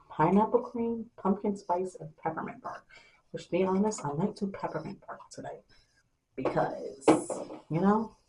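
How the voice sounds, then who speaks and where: A young woman vlogger in a small room at home, talking softly and rambling to the camera at night, sometimes losing her train of thought. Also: a little hiss or hum, rough recording. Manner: soft and low-voiced at night. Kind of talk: casual late-night chat to camera.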